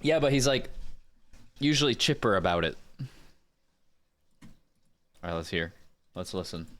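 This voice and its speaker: A man's voice: deep voice, lively intonation, a little slow, a bit bright. A man chatting casually and playfully on a livestream as a voice actor, sometimes muttering to himself. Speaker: streamer, voice actor.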